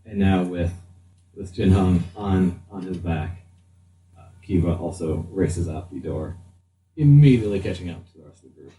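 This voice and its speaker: A man improvising narration as the game master of a tabletop game, often pausing mid-sentence. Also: low voice, mellow. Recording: noisy room, distant mic.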